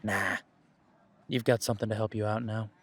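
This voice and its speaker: A man playing a protective, dutiful werewolf pack leader in an audio drama, speaking in an earnest, measured way with dry humour. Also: lowish voice, level tone, a bit bright, slightly rough. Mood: reassuring. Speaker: voice actor in audio roleplay. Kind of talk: scripted audio roleplay drama.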